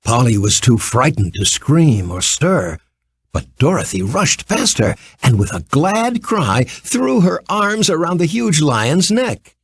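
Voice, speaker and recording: A man reading a scripted children's story as an expressive, theatrical narrator. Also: a little high, takes it slowly, breathy edge.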